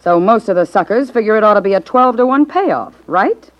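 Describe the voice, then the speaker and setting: A woman's voice: medium pitch, expressive, fairly quick, natural voice. An American actress playing a shrewd, cocksure, wisecracking gangland woman in a 1950s radio crime drama. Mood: cocky, scheming. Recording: dull 1950s radio recording.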